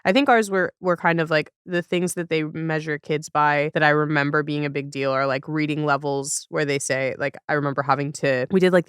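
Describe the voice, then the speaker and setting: A woman's voice: sits low, a bit bright, clear, resonant tone, no echo, studio quality. A woman with an American accent hosting a casual, reflective conversation, earnestly thinking aloud. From United States. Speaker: podcast host.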